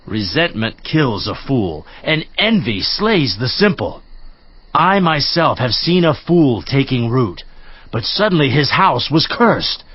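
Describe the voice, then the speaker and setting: A man playing a stern, admonishing counselor from scripture, speaking in an emphatic, rhetorical preaching manner with a husky voice. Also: mid-range voice, big pitch swings, slow pace, no echo, heavily acted. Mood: grave warning. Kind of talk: dramatized scripture reading, theatrical. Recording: slightly muffled audio.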